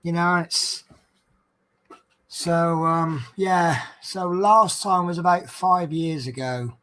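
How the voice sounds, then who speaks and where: A man's voice: a little high, takes it slowly, pushed and bright. A man with a Norfolk accent, chatting casually and rambling with lots of ums while doing a craft at home. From England. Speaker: vlogger.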